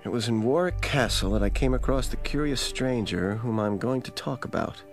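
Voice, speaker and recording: A man's voice: medium pitch, moderate pace, natural voice. An actor playing a restless, anxious young man muttering to himself in short phrases in a horror radio drama. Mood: weary, uneasy. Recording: loud music behind the voice.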